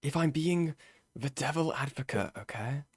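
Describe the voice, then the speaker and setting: Streamer, male, hoarse, casual and profane. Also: mid-range voice, moderate pace. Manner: loose, sweary, trailing off. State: voice going hoarse.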